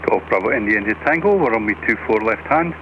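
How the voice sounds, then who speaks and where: A man's voice: lowish voice, expressive, fast talker. A man working as an air traffic controller, giving terse instructions over aviation radio. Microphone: radio transmission. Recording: muffled, noisy aviation radio transmission.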